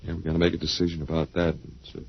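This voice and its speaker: An actor playing a gentle, wistful middle-aged widower, the last man on earth, hesitant and coaxing in a 1950s radio drama style. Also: deep voice, completely flat delivery, rapid-fire, creaky, breathy edge, full character voice. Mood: reflective. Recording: dull, noisy old-time radio recording.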